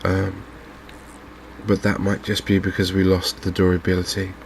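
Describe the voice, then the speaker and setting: A man giving calm, understated, softly spoken ASMR commentary on a video game, in an unhurried way. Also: deep voice, gravelly, a little hiss or hum. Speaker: gaming video host.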